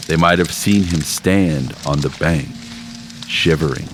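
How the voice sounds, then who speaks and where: A man reading a literary short story aloud in measured, unhurried scripted narration, with slowed phrases. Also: very deep voice. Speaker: story reader. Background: background score music.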